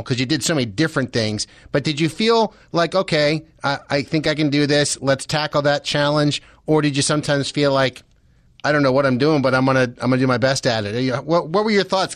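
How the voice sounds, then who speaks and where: Higher-pitched male radio host. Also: fairly bright tone, no echo, studio quality. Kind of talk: conversational radio introduction and interviewing.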